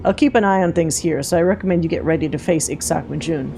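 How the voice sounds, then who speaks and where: A woman's voice: low-pitched, quick pace. A woman voicing a plainspoken, protective female hunter from a video game, with folksy, homespun grammar. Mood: steady, protective. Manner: folksy drawling diction, dropped grammar.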